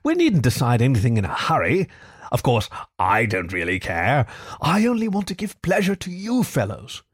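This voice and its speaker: A man voicing a boastful, excitable male toad from a storybook, with a pompous, gushing, theatrical manner. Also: mid-range voice, unhurried, no room sound, clean studio sound. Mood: smoothly persuasive. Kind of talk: storybook character acting.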